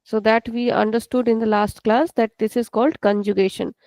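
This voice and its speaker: A woman's voice: on the high side, steady tone, fairly quick, darkish tone, no room sound. A woman with an Indian English accent teaching an online language class, explaining patiently and repeating each point step by step.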